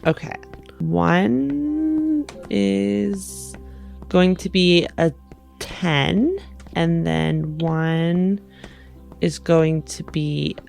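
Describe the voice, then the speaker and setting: Creaky-voiced woman playing a tabletop game. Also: lowish voice, very slow, long pauses, darkish tone. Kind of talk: casual game chat. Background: music.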